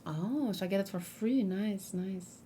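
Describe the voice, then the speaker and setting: A woman's voice: medium pitch, measured pace, mellow, a little hiss or hum. A woman streaming a let's play, giving casual, chatty commentary and musing aloud as she goes. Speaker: gaming streamer.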